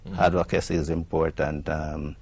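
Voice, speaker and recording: A man with a Jamaican accent answering interview questions in a measured way, pausing with frequent ums. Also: very deep voice, flat delivery, gravelly, darkish tone, dry recording. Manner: measured, with many ums and pauses. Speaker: guest, executive director.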